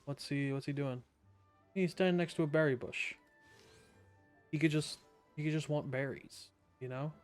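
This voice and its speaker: A woman's voice: deep voice, moderate pace, long pauses, darkish tone. A young woman streaming a game, joking casually as she plays. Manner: playful, joking. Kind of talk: casual playthrough commentary. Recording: game music faint behind.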